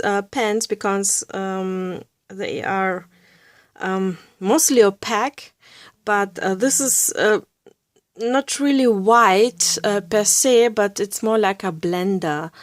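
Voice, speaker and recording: Slow, hesitant woman with an accent. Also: a little high, no room sound, clean studio sound. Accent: Russian and Bavarian German. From Russia. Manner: hesitant, many fillers, giggly; drops to a soft near-whisper while coloring. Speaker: art tutorial creator. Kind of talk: casual drawing tutorial.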